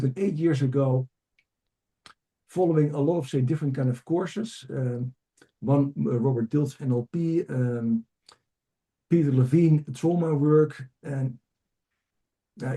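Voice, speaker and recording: Dark-toned middle-aged man with Dutch accent. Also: fairly high pitch. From Amsterdam, Netherlands. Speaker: podcast guest, leadership coach. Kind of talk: conversational personal storytelling.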